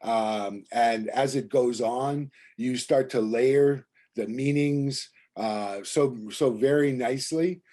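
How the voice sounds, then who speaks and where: A man's voice: mid-range voice, slow, hardly any pauses, dark tone. A man moderating a film festival Q&A. He speaks encouragingly and repeats words as he gives praise.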